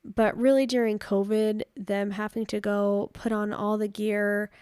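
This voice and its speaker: Chatty podcast host woman, slightly raspy. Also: mid-range voice, flat delivery, unhurried, darkish tone, no room sound, studio quality. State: recovering from a cold. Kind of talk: casual podcast chat. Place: home.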